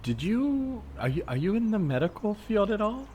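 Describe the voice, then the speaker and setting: A man playing an earnest, mild-mannered hospital worker out jogging in an improvised comedy scene, speaking casually in a halting, tentative way. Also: high-pitched, sing-song, darkish tone. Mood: puzzled, curious. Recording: background noise of outdoor soundscape. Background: added outdoor ambience soundscape.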